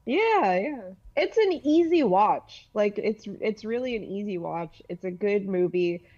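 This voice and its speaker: Slightly muffled, lo-fi audio carries a woman's mid-pitched voice. She chats casually and informally, as a guest on a podcast. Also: expressive, measured pace, dark tone. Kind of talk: casual chat.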